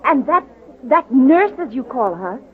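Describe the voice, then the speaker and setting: A woman's voice: high-pitched, sing-song, measured pace. An actress playing a refined, indignant and determined lady reformer in 1940s radio style. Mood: shocked. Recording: tinny old radio recording.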